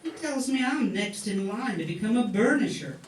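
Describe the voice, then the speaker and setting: A woman's voice: a little high, animated, normal speed, a little hiss or hum, natural voice. A woman playing an eager young wartime factory worker in an amateur radio play. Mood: eager, proud. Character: eager young Atlanta-born 1940s crane and riveting worker. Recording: echoey room, distant mic, faint music.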